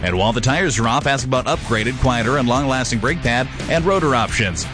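A male announcer reads a scripted dealership commercial. His voice sits at a medium pitch, with guitar music clearly playing behind it. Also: expressive, a little fast.

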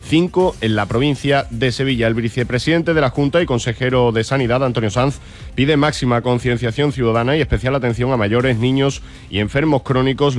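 A man presenting a scripted radio news bulletin. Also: mid-range voice. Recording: music bed behind.

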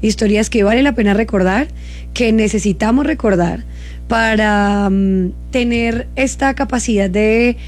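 A woman's voice: on the high side, unhurried, a little mellow. A woman from Cali with a Colombian accent, chatting casually as a live radio host. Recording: music playing behind the voice. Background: background music.

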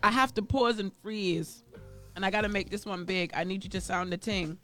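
A woman's voice: medium pitch, steady tone, normal speed, a bit bright. A woman with a Bahamian accent co-hosts a casual show in a playful, theatrical way. Her voice sits in a middle register, and faint music plays underneath. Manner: playful, dramatic storyteller. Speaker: co-host. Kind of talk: casual chat.

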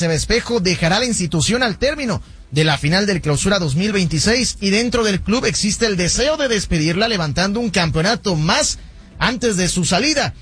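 Husky man, higher voice, radio sports news reader. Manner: steady, formal newsreader delivery. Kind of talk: scripted news reading.

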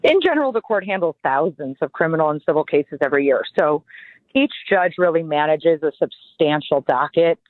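A woman in her forties, a judicial candidate, answering interview questions in an earnest, measured, lawyerly way. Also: deep voice, lively intonation, no echo. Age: 40s. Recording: phone-like line, dry.

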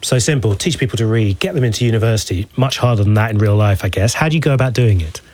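A man's voice: on the low side, expressive, fast talker, a little mellow. A man presenting a news programme from a studio, introducing items and interviewing guests. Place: radio studio.

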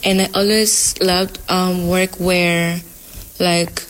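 A young female student from Angola is being interviewed. She speaks slowly in a somewhat low voice. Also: level tone, a bit bright, slight background noise, rough recording. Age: young adult, high school senior. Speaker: high school student interviewee.

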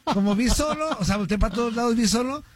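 A man telling a story about himself in a halting, self-mocking way, with many restarts. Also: very high-pitched, no echo. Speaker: co-host. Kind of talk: casual storytelling.

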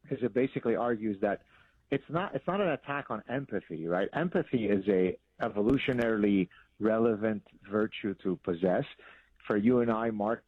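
A man's voice: on the low side, breathy edge, no room sound. A man in his fifties from Lebanon, a guest author answering interview questions in an articulate, explanatory way. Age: 50s. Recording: tinny phone-like sound, dry.

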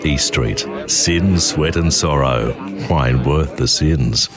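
A man reading a radio ad voice-over in a slow, seductive delivery. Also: a bass voice, over music.

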